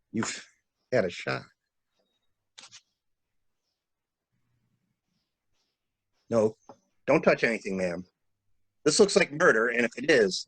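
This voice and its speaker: A man acting a brisk, take-charge stranded traveler in a dramatic mystery reading. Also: medium pitch, a bit bright, slightly rough, dry recording, exaggerated voice. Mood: firm, commanding. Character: male stranded traveler, brisk, commanding, takes charge at crime scene. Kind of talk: dramatic reading of a stage-style murder mystery.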